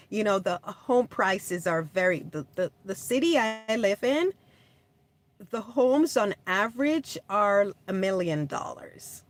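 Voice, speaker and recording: A woman born in Jamaica, chatting casually on a livestream, answering viewer comments and laughing often. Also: mid-range voice. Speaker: livestream host. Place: home in California.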